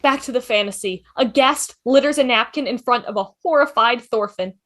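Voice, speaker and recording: A woman's voice: fairly high pitch, brisk, fairly bright tone. A woman reading scripted stage directions as narrator of a table read.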